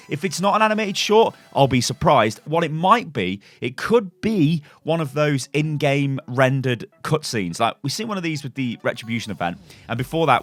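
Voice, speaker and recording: A man giving casual gaming news commentary as a YouTuber, chatty and excitable, with rhetorical asides to his viewers. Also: medium pitch.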